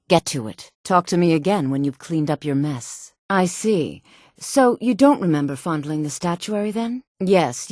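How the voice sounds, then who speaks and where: Temple priestess, low female voice, stern, chiding, dry sarcasm, fantasy game, no room echo. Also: a little mellow. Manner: haughty, chiding, dryly sarcastic. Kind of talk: fantasy video game voice acting.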